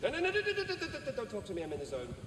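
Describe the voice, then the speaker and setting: A man's voice: very high-pitched, wildly swooping pitch, rapid-fire, noisy background, heavily acted. A man voicing a rude, impatient ghostly squirrel in a video game, brash and snappy. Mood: irritated. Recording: distant, echoey reverb with music behind.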